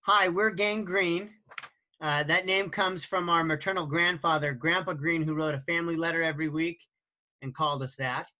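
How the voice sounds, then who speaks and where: A man in his forties, the team captain, introducing his family team in a halting way with many fillers. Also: on the high side, steady tone, sharp tone. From Idaho, USA. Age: 40s.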